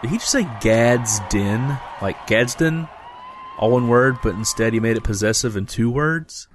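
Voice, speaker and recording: Man acting a blunt, deadpan, mid-pitched adventurer. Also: unhurried, noisy background, natural voice. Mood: sarcastic. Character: blunt, sarcastic, grumpy male adventurer. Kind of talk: fantasy comedy audio drama.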